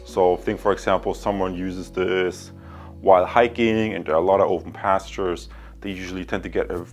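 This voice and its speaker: A man's voice: deep voice, fairly even intonation, normal speed, soft background music. A man who hosts a review channel, talking casually and often repeating himself as he goes. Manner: repeats phrases and figures. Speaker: product reviewer and channel host. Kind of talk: casual product review talk.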